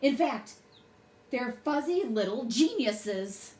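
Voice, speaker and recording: Female children's storyteller: very lively, high-ish pitch, unhurried dramatic delivery, roomy recording. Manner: deliberate, dramatic phrasing for children. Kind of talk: expressive bedtime story read-aloud. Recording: roomy echo, faint background noise.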